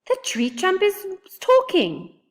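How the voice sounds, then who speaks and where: A woman voicing an awkward young bigfoot boy, squeaky, whiny and self-conscious. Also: wildly swooping pitch, takes it slowly, exaggerated voice. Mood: uneasy. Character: awkward, anxious, sulky young bigfoot boy, huge for age.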